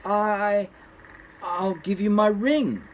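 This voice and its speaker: Man putting on a high, meek young maiden. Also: a little hiss or hum. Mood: hesitant, timid. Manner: high-pitched pleading, halting. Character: miller's young daughter, frightened, tearful and meek.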